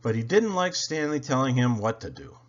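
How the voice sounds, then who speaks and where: A man reading a children's story aloud with expressive, animated storytelling. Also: mid-range voice, brisk, dull audio. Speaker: storybook reader.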